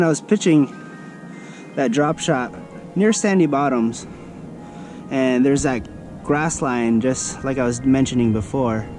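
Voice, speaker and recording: A man in his thirties, presenting an instructional vlog in a casual, step-by-step manner. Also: mid-range voice. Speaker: fishing vlogger presenting a tutorial. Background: background music.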